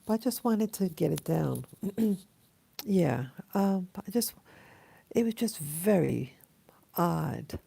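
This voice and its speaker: Slow, hoarse American woman, just awake. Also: lowish voice, expressive, warm tone. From Queens, New York City, USA. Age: 50s, almost sixty. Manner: halting, reflective. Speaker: vlogger. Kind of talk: casual personal dream journal.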